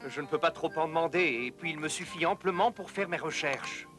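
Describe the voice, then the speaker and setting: A man voicing an earnest, thoughtful doctor and researcher in an educational cartoon, speaking in a measured, explanatory way. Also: on the high side, close to an everyday voice. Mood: quietly intrigued. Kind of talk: French-dubbed educational cartoon acting. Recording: music under the voice.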